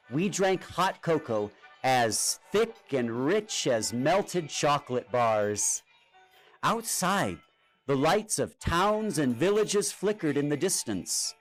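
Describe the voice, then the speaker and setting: A man narrates a children's storybook with expressive drama. His fairly high voice swings widely in pitch and takes its time, with music playing behind it. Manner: expressive, dramatic read-aloud delivery. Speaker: storybook reader. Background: music and sound effects.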